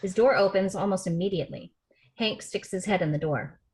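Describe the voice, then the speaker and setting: A woman reading a script's stage directions aloud in a steady, matter-of-fact way for a table read over a video call. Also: mid-range voice, fairly quick, low-quality recording.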